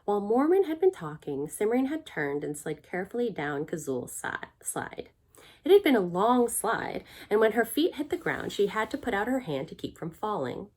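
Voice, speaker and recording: A middle-aged woman narrating a children's book aloud in an expressive, clearly phrased storytelling manner. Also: medium pitch, normal speed. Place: small furnished room at home.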